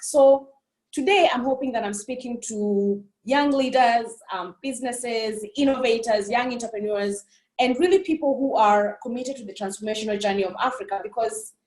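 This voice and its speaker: A woman's voice: fairly high pitch, echoey room, poor audio. A woman from Burundi giving a passionate conference keynote, earnest and repeating phrases for emphasis. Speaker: keynote speaker. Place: on a remote call.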